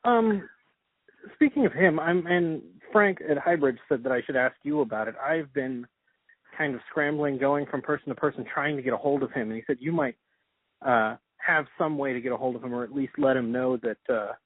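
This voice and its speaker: A man interviewing casually, asking his questions in a halting, hesitant way over a call. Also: medium pitch, no room sound. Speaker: interviewer, podcast host. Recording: tinny narrowband phone-like sound.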